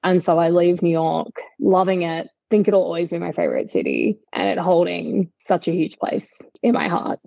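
Gravelly, lower-voiced woman podcast host. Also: sing-song, moderate pace, few pauses, dark tone, no echo. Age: 30s. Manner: candid, long-winded storyteller. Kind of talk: casual conversational podcast chat. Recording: slightly dull makeshift mobile setup.

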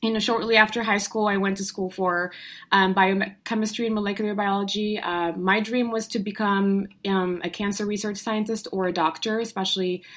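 A woman's voice: medium pitch, fairly even intonation, moderate pace, a bit bright. A young adult woman from Morocco, a guest telling her story in an upbeat, chatty way with frequent fillers and restarts. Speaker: guest entrepreneur. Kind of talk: casual conversational storytelling.

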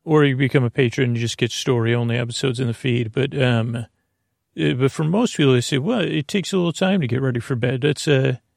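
A man with a creaky voice hosting a sleep podcast, rambling through a meandering, hesitant bedtime monologue full of halting digressions. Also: medium pitch, a little mellow, no echo, clean studio sound. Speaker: podcast host.

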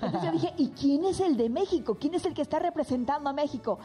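A woman co-hosting a podcast, chatting enthusiastically with a guest and reacting with quick exclamations. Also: high voice, lively intonation, dark tone. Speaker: podcast co-host. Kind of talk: casual interview chat.

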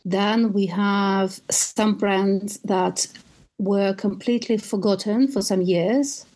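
Russian-accented woman, mellow and enthusiastic. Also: mid-range voice, steady tone, takes it slowly. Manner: enthusiastic storytelling with occasional restarts. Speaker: guest, perfume tour guide. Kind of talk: conversational interview.

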